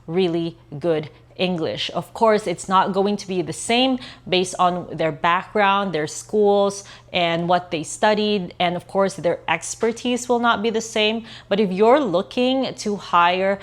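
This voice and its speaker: A woman's voice: medium pitch, measured pace, hardly any pauses. A woman, talking straight to camera about her outsourcing business in an earnest, emphatic way. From Philippines. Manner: earnest, emphatic, repeats for stress. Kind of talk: direct-to-camera business talk.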